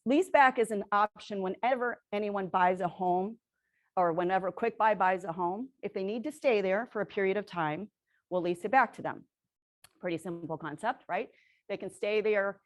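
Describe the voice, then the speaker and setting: A woman presenting to a room of real estate agents, energetic and quizzing the audience, who says she is losing her voice. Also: mid-range voice. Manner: energetic, asks the audience questions, tags lines with "right?". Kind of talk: sales presentation to a live audience.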